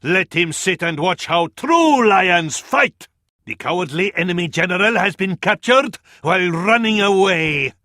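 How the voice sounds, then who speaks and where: An actor with an Arabic accent playing a fervent, scornful medieval advisor to a sultan in a video game, in a florid, declamatory style. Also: male voice, sits high, lively intonation, a little slow, pushed and bright, no echo, studio quality, heavily acted. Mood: scornful, grave. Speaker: battle advisor in a strategy game. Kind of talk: theatrical video game voice acting.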